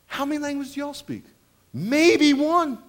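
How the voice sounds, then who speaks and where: Animated high-voiced male preacher. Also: measured pace. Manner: animated storyteller, acts out voices, laughs often. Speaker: pastor. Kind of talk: sermon with comic stories.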